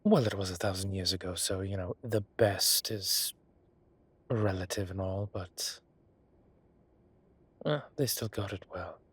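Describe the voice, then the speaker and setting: A man playing an ancient, teasing godlike being with a soft romantic streak, in a theatrical, drawling and indulgent manner for a roleplay audio drama. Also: low voice, a little slow, long pauses, breathy edge. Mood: musing, tender.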